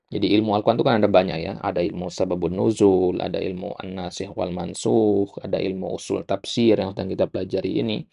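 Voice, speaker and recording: An Indonesian man with a low voice steeped in heavy vocal fry. He teaches like a religious instructor, glossing terms and repeating his points didactically. Short check words punctuate his explanations. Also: darkish tone. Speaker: Islamic studies teacher. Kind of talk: explanatory religious lecture, reading and glossing an Arabic text.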